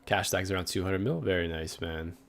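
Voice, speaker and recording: A man's voice: deep voice, fairly quick, gravelly. A young man chatting casually on a game livestream, rambling and reading viewer messages aloud. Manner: relaxed, rambling, reads chat aloud. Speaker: gaming livestreamer. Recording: faint game music behind.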